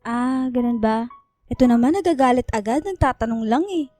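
A woman plays a young Filipina girlfriend with a high voice. She sounds meek and hurt, questioning in a hesitant, pouty way. It is radio drama with music behind. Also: moderate pace, darkish tone, dry recording, close to an everyday voice. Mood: suspicious, sulky.

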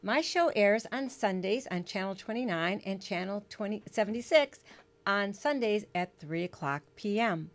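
A woman's voice: mid-range voice, lively intonation, normal speed. Middle-aged woman hosting a TV talk show. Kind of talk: TV interview hosting. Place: small TV studio. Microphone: desk mic.

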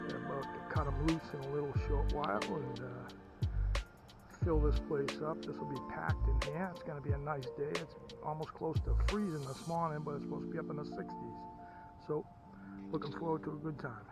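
A middle-aged American man giving casual, unhurried and enthusiastic vlog narration as a radio hobbyist. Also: on the high side, fairly even intonation, over loud music. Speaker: vlogger and ham radio hobbyist. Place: outdoors at a fairground. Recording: muffled outdoor camera audio.

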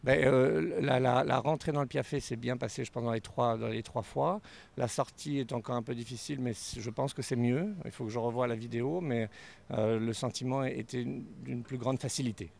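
Halting man with a gravelly voice. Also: mid-range voice, fairly even intonation, darkish tone, a little hiss or hum. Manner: halting, repeats words while thinking. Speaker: dressage rider. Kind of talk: post-competition interview. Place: outdoors at an equestrian stadium. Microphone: handheld reporter mic.

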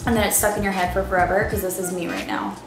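A woman's voice: medium pitch, fairly even intonation, fast talker. Chatty woman in a roomy echoey room. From Baltimore area, Maryland. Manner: rambling, filler-heavy "like" talk. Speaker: vlogger. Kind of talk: casual chat to camera. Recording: roomy echo with music behind.

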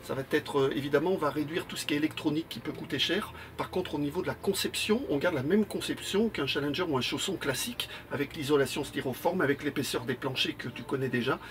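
A man's voice: a little high, quick pace, hardly any pauses, a bit bright, a little hiss or hum. A man explaining a new motorhome model in an interview inside the vehicle, in a matter-of-fact way. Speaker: manufacturer representative.

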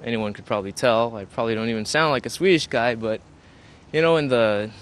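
A man in his twenties playing a nostalgic former rock band member in a mockumentary, talking haltingly outdoors. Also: mid-range voice, clean tone, natural voice. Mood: wistful. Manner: halting, tags phrases with "yeah?". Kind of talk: mockumentary interview, reminiscing. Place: outdoors on a campus lawn.

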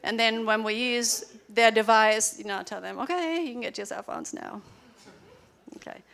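A woman's voice: fairly high pitch, creaky, a bit bright. A woman whose first language is German, giving a chatty conference workshop to teachers in a large lecture hall, quizzing the audience and laughing between points. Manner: chatty, laughing asides, quick questions to the audience.

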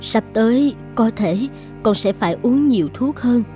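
A woman's voice: a little high, animated, a little slow, mellow, faint music behind. A woman voicing a loving, anxious mother in a cartoon, speaking in a tender, pleading way. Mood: gentle. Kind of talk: animated cartoon dubbing.

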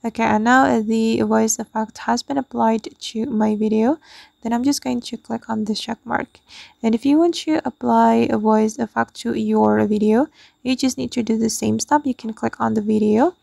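Creaky-voiced woman presenting a tutorial. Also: a little high, steady tone, normal speed, a little mellow, no room sound, studio quality. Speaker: tutorial host. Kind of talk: step-by-step app tutorial narration.

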